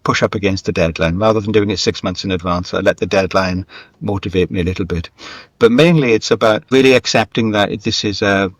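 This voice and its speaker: Male writer guest, low voice, dry close sound, reflective and self-deprecating, anecdotal delivery. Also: a little fast, no room sound. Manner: candid, self-deprecating, wandering anecdotal talk. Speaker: guest, screenwriter and novelist. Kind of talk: reflective conversational interview.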